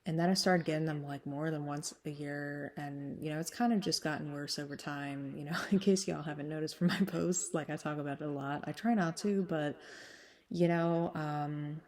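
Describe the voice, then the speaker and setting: A woman with a smoky, slightly hoarse voice, still getting over a cold, chatting casually to her followers with lots of fillers and frequent laughs. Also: low voice, fairly even intonation, moderate pace, darkish tone. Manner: chatty, full of fillers, laughs often, drifts back and forth. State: recovering from a cold, sinus congestion. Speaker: vlogger. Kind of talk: casual rambling chat to followers.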